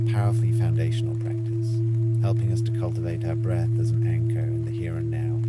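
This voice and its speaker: A man with a low-pitched voice guides a mindfulness meditation. He gives gentle, measured instructions and leaves frequent pauses between phrases. Prominent music with singing bowl tones plays behind him. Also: poor audio.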